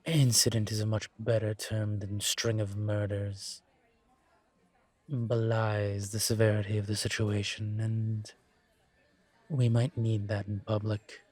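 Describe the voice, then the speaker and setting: A man playing a calm, courteous incubus professor and investigator in an intimate audio roleplay, speaking considerately with careful pauses. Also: fairly low pitch, no echo. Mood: discreet, businesslike. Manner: measured, considerate, hesitant pauses. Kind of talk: scripted audio roleplay, intimate one-sided conversation.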